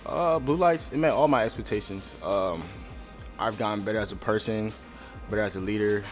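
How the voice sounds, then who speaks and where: Laid-back young man, loud music behind. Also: lowish voice, fairly quick. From Connecticut. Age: young adult. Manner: laid-back, repeats himself. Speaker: college freshman athlete. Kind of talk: recorded player interview. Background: music bed.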